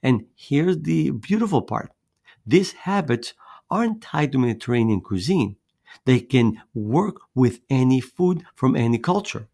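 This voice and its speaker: A man raised in Greece talks through a scripted fitness presentation in an earnest, persuasive way. His middle-pitched voice has a gravelly edge. Now and then he repeats a word or drops in a filler. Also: very animated, unhurried, darkish tone. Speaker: fitness coach presenting his weight loss method.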